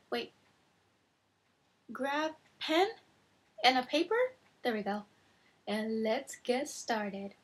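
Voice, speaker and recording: Young woman, native Japanese speaker, vlog teacher; unhurried, careful delivery that repeats every phrase, bedroom webcam sound. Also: high-pitched, husky. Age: young adult. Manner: slow, careful, repeats each phrase twice. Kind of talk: casual video lesson. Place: bedroom, indoors. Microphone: webcam at desk.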